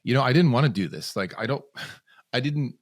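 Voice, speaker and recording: A man's voice: mid-range voice, expressive, moderate pace, no echo, studio quality. A man, a podcast guest telling his story in bursts, with sudden rises and restarted phrases. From Switzerland. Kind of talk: casual storytelling interview.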